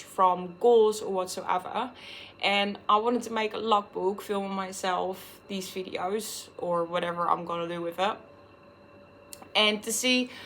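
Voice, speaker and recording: A young Dutch woman in her twenties speaks English with a Dutch accent in a candid vlog, blunt and unfiltered, with a curse word here and there. Her voice sits at an ordinary middle pitch for a woman. A faint hiss of noise runs under the recording. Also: a bit bright. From Netherlands. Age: 20s. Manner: frank and unfiltered, swears freely. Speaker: vlogger.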